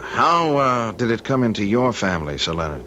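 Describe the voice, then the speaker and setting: An actor playing an uneasy, skeptical married man in an old-time radio drama, halting and questioning as he speaks. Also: mid-range voice, very animated, bright, forceful tone. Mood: curious. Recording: dull, noisy old radio recording.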